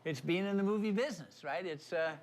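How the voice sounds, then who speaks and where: Chatty older man, slightly high voice. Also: fairly quick. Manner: chatty, folksy. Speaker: movie theater owner being interviewed. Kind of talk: casual on-location interview. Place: inside a small movie theater building. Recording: faint background noise, on location.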